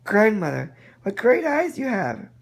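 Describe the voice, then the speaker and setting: A man's voice: high voice, huge pitch swings, a little slow, vocal fry, breathy edge, pitched above the performer's own voice, heavily acted. Man putting on a trusting little storybook girl. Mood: eager. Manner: chatty, trusting. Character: innocent cheerful little girl.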